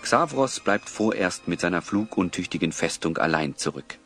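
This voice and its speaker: A man's voice: sits low, animated, moderate pace, fairly bright tone, soft background music. A man narrating an audio drama as a dramatic storyteller.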